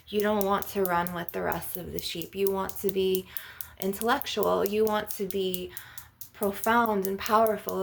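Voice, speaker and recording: A woman with a creaky voice speaking as a spiritual healer in an ASMR session, earnest and instructive in a conversational way. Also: mid-range voice. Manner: earnest, instructive, often adding "you know" and "okay". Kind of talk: spiritual ASMR healing session with rambling talk.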